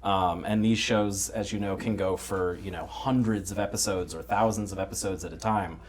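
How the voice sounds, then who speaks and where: A man talking casually as a guest in a relaxed conversation. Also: deep voice, normal speed, slightly rough. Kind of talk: casual chat.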